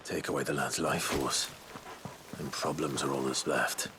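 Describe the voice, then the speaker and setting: An actor playing a brooding warrior lord in a fantasy video game, speaking gravely and with restraint. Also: male voice, very deep voice, steady tone, a little slow. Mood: grim. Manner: grave, understated. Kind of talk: dramatic video game dialogue. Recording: background noise with outdoor ambience.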